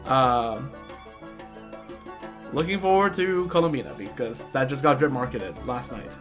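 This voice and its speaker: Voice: medium pitch, animated, unhurried, music in the background. A streamer chatting informally about a video game in a fan-like, slangy way. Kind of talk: casual livestream chat mixed with reading game dialogue aloud.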